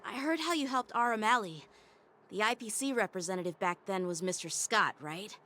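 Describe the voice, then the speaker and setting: A woman's voice: mid-range voice, brisk, sharp tone, close to an everyday voice. An actress playing an earnest, diplomatic female ally in a video game.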